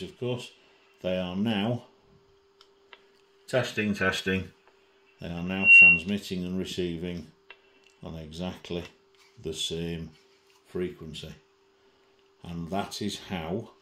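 A man giving an informal software demonstration as a tutorial presenter, methodical and thinking aloud, recorded indoors at a desk. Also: deep voice, fairly even intonation, slow, pauses often, roomy sound, slight background noise.

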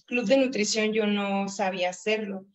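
A woman's voice: mid-range voice, steady tone, moderate pace, dull audio. A woman in her late thirties giving a chatty motivational training talk over a video call, often asking and answering her own questions. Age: 30s. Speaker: network marketing trainer.

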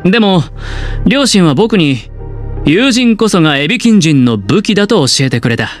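High, smooth, sly young gambler. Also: male voice, big pitch swings, normal speed. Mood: nonchalant. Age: young adult. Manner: smooth, teasing, theatrical. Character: suave young male gambler, playful, sly and scheming. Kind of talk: Japanese game dialogue voice acting. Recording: music behind the voice.

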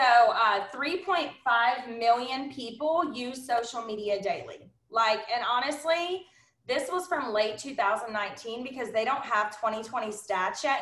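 A woman's voice: on the high side, a bit bright. Bubbly woman in her thirties, echoey room. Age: 30s. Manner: bubbly, emphatic, lots of "like" and "honestly". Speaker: business trainer. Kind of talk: casual training talk. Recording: roomy, echoey sound.

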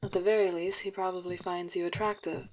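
A woman's voice: lowish voice, level tone, fairly quick, a little mellow, natural voice. A woman playing a formal, precise matchmaker at a dating agency in an audio drama. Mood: briefing. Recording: dull lo-fi sound, faint hiss.

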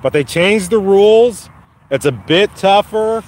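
A man hosting his first live stream from a phone while walking a dog outside, his voice slightly raspy from a cold, casually encouraging viewers. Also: high voice, animated, unhurried, a little hiss or hum. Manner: encouraging, repetitive, addresses viewers by name. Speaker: channel host. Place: outdoors on a lawn walking a dog. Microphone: phone held while walking.

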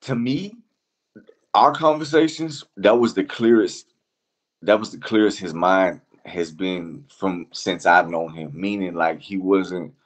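A man being interviewed as a music producer, talking casually and reflectively in a halting, slangy way, often repeating phrases. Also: low-pitched.

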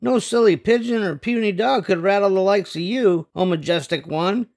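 An older man voicing restless, imaginative young boys in an expressive, dramatic storybook read-aloud. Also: sits high, normal speed, gravelly. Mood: grand, coaxing. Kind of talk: animated storybook read-aloud with character voices.

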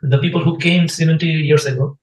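A man from Bangladesh, a non-native English speaker, interviewing a guest and asking questions in halting phrasing. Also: on the high side, fairly even intonation. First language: Bengali. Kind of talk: casual interview. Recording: echoey room from far off.

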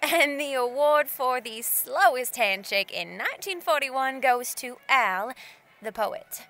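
An actress playing a sassy, forward young 1940s dame, brash and playful, in a period audio drama. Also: female voice, sits high, animated, slow, a bit bright, full character voice. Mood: teasing.

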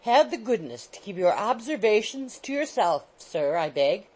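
A woman voicing an indignant, quarrelsome middle-aged landlady, in a loud scolding tirade. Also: medium pitch, big pitch swings, moderate pace, low-quality recording. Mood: haughty, cold. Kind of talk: audiobook character voice.

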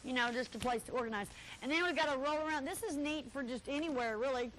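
Lady in her 30s doing live cable tv sales patter, high bright voice, faint tape hiss behind her. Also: fast talker, low-quality recording. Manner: chatty, joking asides to the crew. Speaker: local TV auction host. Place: cluttered indoor stockroom.

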